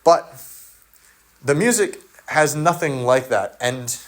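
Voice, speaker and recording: A man's voice: mid-range voice, animated, takes it slowly. A native English-speaking man in a casual solo monologue, thinking aloud with many fillers and restarts. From English-speaking country. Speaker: video essayist.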